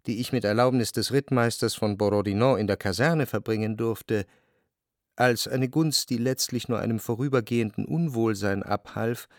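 An actor playing a reflective, melancholic man narrating his memories in a literary radio drama, measured and introspective. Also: mid-range voice, no room sound, clean studio sound, close to an everyday voice. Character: reflective, melancholic male narrator recalling his past life.

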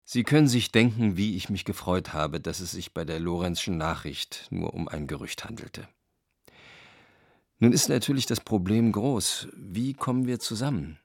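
A man's voice: sits low, expressive, normal speed, no room sound, clean studio sound. A man reading an earnest letter from someone hoping to be reunited with the recipient. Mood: hopeful. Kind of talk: broadcast documentary reading.

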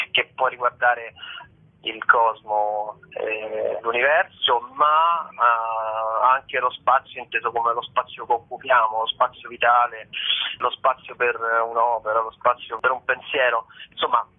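A man's voice: medium pitch, flat delivery, unhurried. Mid-voiced man on a tinny phone line. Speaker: festival organiser, interview guest. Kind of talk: casual phone interview. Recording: narrow tinny telephone line.

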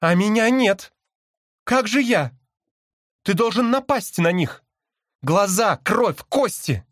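A man voicing a fierce, desperate young female magpie with urgent, shrill cries in an audiobook. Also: animated, slow, dry recording, studio quality. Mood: frantic, bloodthirsty. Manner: shrill, urgent, exclamatory crying out. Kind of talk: dramatized audiobook character.

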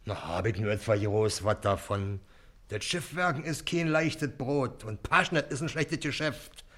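A man's voice: medium pitch, a little slow, a bit bright. An actor playing a wheedling, middle-aged river boatman and shady dealer in a radio play, speaking colloquial Berlin dialect. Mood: self-pitying. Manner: colloquial, grumbling, insistent bargaining.

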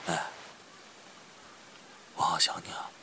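An actor playing an affectionate, teasing young man in love with his boyfriend, in an intimate, breathy romantic audio drama style. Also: takes it slowly, background noise, close to an everyday voice. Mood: longing. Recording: music behind the voice.

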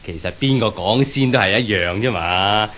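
A man's voice: on the low side, moderate pace, fairly bright tone, close to an everyday voice. An actor playing a jovial, talkative, flirtatious Englishman in a 1970s Cantonese radio drama. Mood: excited. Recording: hissy, noisy 1970s radio recording.

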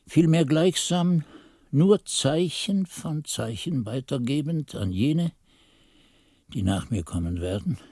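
An actor playing an aged, frail monk who solemnly recounts his youth in a radio drama, husky and measured, with phrases falling away to a hush. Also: mid-range voice, no room sound, clean studio sound. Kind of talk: radio drama narration, archaic literary chronicle.

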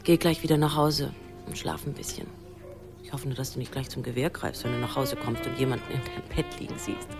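A woman's voice: sits low, sing-song, fairly quick, music in the background. An actress playing a weary, wry girlfriend leaving a phone message in a radio play. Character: weary, wry girlfriend in long-distance romance.

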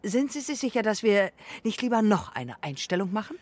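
Imperious film actress, female, radio drama: husky, insistent, cool, with animated pitch. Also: fairly quick, no room sound. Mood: demanding. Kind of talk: German radio drama acting.

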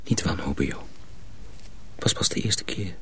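A man acting in a radio drama as a calm, secretive friend and mentor, quietly cautioning and reassuring. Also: unhurried, in a whisper, close to an everyday voice.

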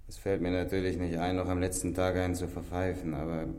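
An actor playing a resigned, bitter gang leader awaiting execution in a German radio play, halting and wry. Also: male voice, low voice, little pitch movement, a little mellow, natural voice. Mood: bitter taunting.

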